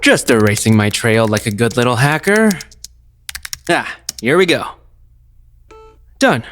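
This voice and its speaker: A self-assured hacker in an audio drama. His mid-pitched voice is wry and a little cocky. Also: sing-song, unhurried, a bit bright, a little hiss or hum. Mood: self-satisfied. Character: cocky, brisk male computer hacker. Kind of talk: scripted audio drama acting.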